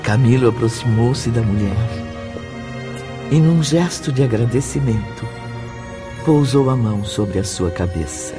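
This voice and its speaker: A man's voice: medium pitch, animated, measured pace, over music, natural voice. A man with a Brazilian accent giving solemn, formal scripted narration for a radio soap opera.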